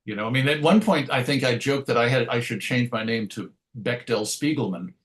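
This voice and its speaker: A middle-aged man speaks as an interview guest, looking back in an easy conversational style. His delivery is wry and self-deprecating, with a medium-pitched voice. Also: brisk. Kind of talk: conversational interview answers, reminiscing.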